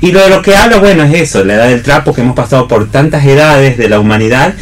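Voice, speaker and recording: A man's voice: mid-range voice, expressive, fast. A man with an Argentine Spanish accent explaining things off the cuff as a theatre actor on radio, discursive and often checking he is followed. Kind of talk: unscripted explanatory talk.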